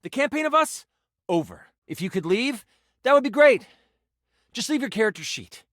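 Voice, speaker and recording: A man's voice: very high voice, very animated, normal speed, fairly bright tone, no room sound, studio quality, exaggerated voice. An actor voicing a personified gaming die as a nerdy, earnest, awkward man who stammers and gets theatrical, in video game style. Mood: hurt, cold. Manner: hesitant, stammering, effusive, theatrical gamer talk.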